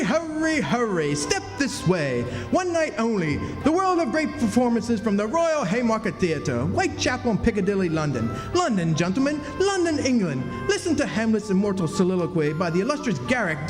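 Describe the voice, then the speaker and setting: Man playing a high-voiced, grandiose phony duke. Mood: barking showman. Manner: grandiose, florid stage declamation. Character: theatrical, self-pitying con man posing as a duke. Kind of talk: stage radio drama acting. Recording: echoey hall stage performance, music behind.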